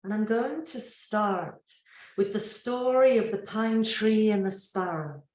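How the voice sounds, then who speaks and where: A woman teaching yoga nidra, guiding a relaxation gently and without hurry, with long pauses between phrases. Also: mid-range voice, echoey room. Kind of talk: guided relaxation meditation.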